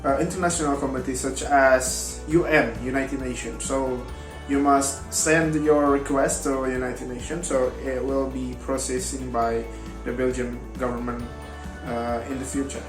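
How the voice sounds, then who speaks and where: A young man, a non-native speaker whose first language is Indonesian, giving a class presentation in a halting way with many fillers and broken grammar. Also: medium pitch, steady tone. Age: young adult. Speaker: university student presenting. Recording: echoey room with faint guitar music.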